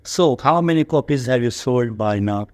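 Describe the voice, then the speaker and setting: A man hosts an interview with one of his students, an English teacher putting plain questions one after another. His voice is mid-pitched and his delivery measured. The sound is dry and close. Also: lively intonation, a little mellow, no room sound.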